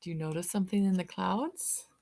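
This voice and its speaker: A woman's voice: lowish voice, a little fast, vocal fry, warm tone. A woman reading a picture book aloud as a teacher-storyteller, stretching out words and pointing out details to young listeners.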